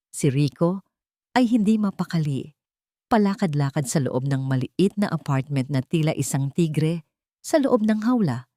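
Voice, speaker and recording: A woman's voice: low voice, dark tone, no echo, studio quality. A woman storyteller reading a scripted romantic drama as narration.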